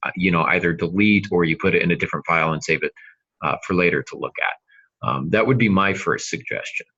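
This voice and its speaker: A man hosting a conversational writing podcast, explaining advice in a measured way with emphatic repetition. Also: sits low, bright, forceful tone, breathy edge, dull audio. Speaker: podcast host and writing mentor.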